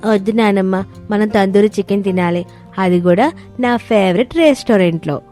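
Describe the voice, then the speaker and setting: A woman's voice: mid-range voice, moderate pace, dark tone, lightly acted. A woman voicing an eager, pleading young child in a cartoon family story. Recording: music bed under voice.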